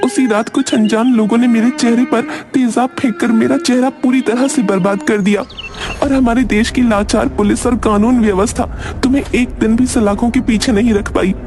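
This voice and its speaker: A young woman acted out by a man speaks in a high voice, bitter and reproachful. The delivery is dramatic, in the manner of a cartoon morality tale, with music playing clearly underneath. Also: steady tone, normal speed, darkish tone. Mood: bitter, accusing. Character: bitter young woman with burned face, accusing former stalker. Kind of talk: Hindi cartoon story dubbing.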